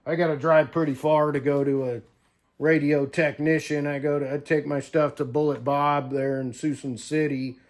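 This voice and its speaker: A man's voice: a little high, little pitch movement, normal speed. A man talking casually to viewers in a folksy, unscripted way, thinking aloud as he tinkers through a hands-on demonstration. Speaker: hobbyist CB radio vlogger.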